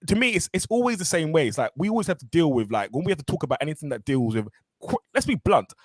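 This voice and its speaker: Animated, fast-talking male podcast host. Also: a little high, dry recording. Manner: animated, repeats himself, thinks aloud. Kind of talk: casual conversational interview.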